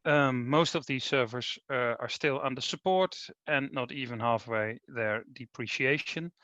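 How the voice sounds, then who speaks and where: A man's voice: mid-range voice, measured pace, sharp tone, dry recording. Dutch guy giving a webinar talk, lots of ums, kinda muffled dry sound. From Netherlands. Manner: hesitant, many ums and uhs. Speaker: managing director presenting. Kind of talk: webinar presentation.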